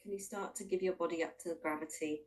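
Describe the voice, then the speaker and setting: A woman with a low voice leads a guided meditation, sitting a little way from the microphone. She gives gentle, invitational instructions, leaving long pauses between unhurried phrases. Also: fairly even intonation, distant mic. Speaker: mindfulness practitioner leading a guided body scan.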